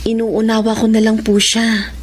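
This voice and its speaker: An actress with a Filipino accent playing a forbearing wife and dutiful daughter in an audio drama. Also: female voice, fairly high pitch, moderate pace, slight background noise, natural voice. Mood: cheerful, excusing. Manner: respectful, expressive. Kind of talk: Filipino radio-style drama acting.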